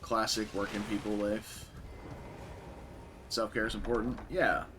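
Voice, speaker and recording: Raspy-voiced young man streaming a game. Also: fairly low pitch, measured pace, noisy background. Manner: relaxed, chatty, answers chat. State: raspy voice after a concert. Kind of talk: casual live-stream commentary.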